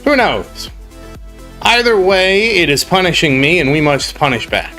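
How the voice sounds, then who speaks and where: A man's voice: high voice, very animated, a little slow, bright, forceful tone, faint music behind. A young man with a cold and a congested voice, rambling and grumbling on a casual game live stream. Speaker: gaming streamer.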